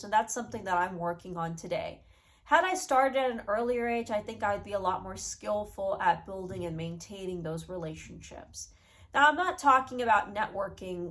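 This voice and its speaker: Nasal, sick young woman vlogging. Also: mid-range voice. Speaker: YouTuber. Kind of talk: casual talking-head vlog advice.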